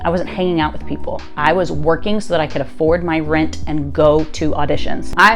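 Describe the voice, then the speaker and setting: A woman in her late twenties with a deep voice, candidly telling her own story to camera in a chatty vlog, with asides and rhetorical questions. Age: late 20s. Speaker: content creator and musical theatre actress.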